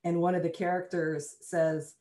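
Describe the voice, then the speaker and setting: A woman's voice: fairly low pitch, little pitch movement, moderate pace, mellow. A woman from Canada speaking as a panelist, conversational and frequently using "you know". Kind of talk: panel discussion. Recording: echoey room.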